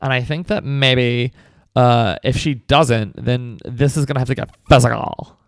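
A man voicing a brash, combative woman who runs a cupcake shop, in a sassy, defiant falsetto. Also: steady tone, measured pace, clear, resonant tone, no echo, clean studio sound, natural voice. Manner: sassy, defiant, high put-on voice. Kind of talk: comedy podcast sketch.

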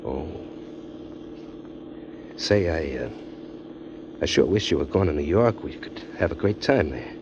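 A tough ex-con drifter with street slang and a hardboiled manner. The voice sits very low but swings widely in pitch. It comes through as a dull old radio recording with music behind it. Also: male voice, lively intonation, a little slow, pauses often, noisy background. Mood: flirting. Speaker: first-person narrator and drifter character. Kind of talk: 1940s radio drama acting.

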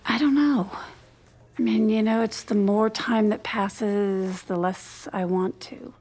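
A woman playing a reserved, guarded married woman in an audio drama, speaking in a measured, hesitant, understated way. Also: mid-range voice, fairly even intonation, a little mellow. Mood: subdued, troubled. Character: reserved, guarded married woman, uneasy among gossiping friends. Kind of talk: naturalistic audio drama dialogue. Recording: music playing behind the voice.

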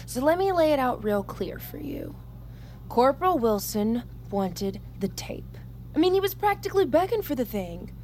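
An actress playing a defiant, hot-tempered young American army recruit in an audio drama, with a blunt, sarcastic, profane way of talking. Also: female voice, a little high, very animated, normal speed, darkish tone, a little hiss or hum. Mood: wry. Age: young adult. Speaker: lead character and narrator.

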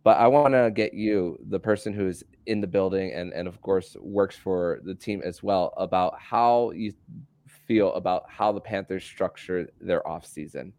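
Low-voiced man hosting, halting delivery. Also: darkish tone, no echo. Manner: halting, repeats words and restarts phrases. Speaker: podcast host interviewing a guest. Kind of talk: casual sports podcast conversation.

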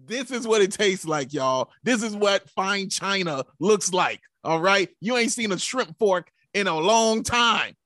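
A high-voiced man talks sports casually as a podcast co-host. He preaches his points with rhetorical questions and pet phrases, and the sound is close and dry. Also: expressive, measured pace, few pauses, bright, forceful tone, no echo. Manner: emphatic, preachy, rhetorical questions and repeated catchphrases. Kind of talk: casual sports fan commentary.

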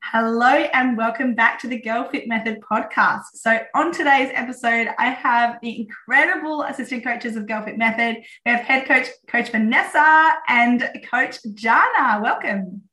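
Hoarse, upbeat woman podcast host. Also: on the high side, moderate pace, few pauses, sharp tone, echoey room, rough recording. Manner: upbeat, enthusiastic, chatty with fillers. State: hoarse, recovering from lost voice. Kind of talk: casual podcast chat.

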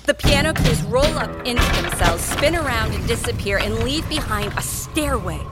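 A woman's voice: on the high side, expressive, moderate pace. A woman narrating a scripted audio drama in a storytelling style. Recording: music bed under voice.

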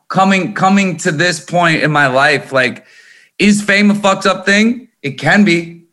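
A man in his thirties from Minnesota, a musician talking candidly and emphatically in an interview, swearing freely. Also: fairly high pitch. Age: 30s. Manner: animated, emphatic, swears often. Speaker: guest musician.

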